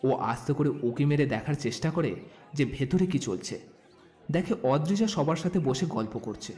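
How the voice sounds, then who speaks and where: Man, story narrator, mellow tone, slightly high pitch, scripted delivery. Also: lively intonation, moderate pace.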